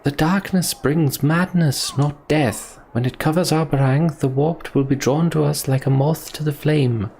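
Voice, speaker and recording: A man's voice: on the high side, measured pace, creaky, hardly any pauses, a little mellow, slight background noise, pitched above the performer's own voice. A man voicing a stern, urgent female mage in command, reading fantasy game dialogue. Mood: urgent warning. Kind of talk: voiced video game dialogue.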